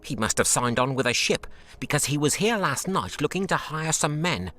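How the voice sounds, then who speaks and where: A man's voice: a little high, sing-song, moderate pace, sharp tone. An actor playing a wary, blunt British tavern keeper in a video game, guarded in his answers. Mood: forthcoming. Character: gruff, wary shady British tavern keeper selling homemade liquor.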